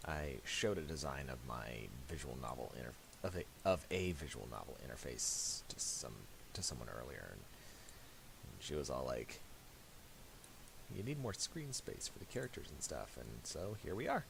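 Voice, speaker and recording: Very deep, gravelly man, halting tutorial. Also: big pitch swings. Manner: halting, thinks aloud while working. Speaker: tutorial host. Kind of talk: casual, unscripted software tutorial. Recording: hissy home recording with mouse clicks.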